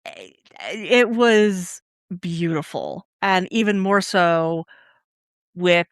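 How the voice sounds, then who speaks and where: A woman's voice: fairly low pitch, very slow, clean tone, no echo, clean studio sound. A woman co-hosting a podcast, speaking with emphatic enthusiasm. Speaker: podcast co-host. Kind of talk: casual podcast talk.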